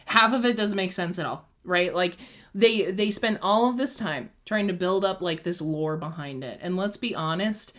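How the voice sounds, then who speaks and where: A woman co-hosting a casual podcast chat, enthusiastic and opinionated, breaking into excited bursts. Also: lowish voice, normal speed. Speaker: podcast co-host.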